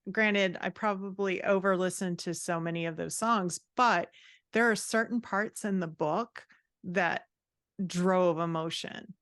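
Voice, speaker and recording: A woman chatting casually as a podcast guest, with an upbeat, expressive, conversational way of talking. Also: lowish voice, a little slow, no echo, studio quality. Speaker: podcast guest, fantasy author. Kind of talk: casual interview chat.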